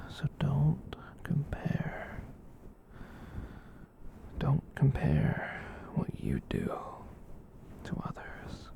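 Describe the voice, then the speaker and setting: A performer voicing a gentle, kind giant catboy in a soft breathy whisper, rambling reassuringly in an ASMR roleplay. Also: medium pitch, exaggerated voice. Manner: rambling, reassuring, slow with long pauses.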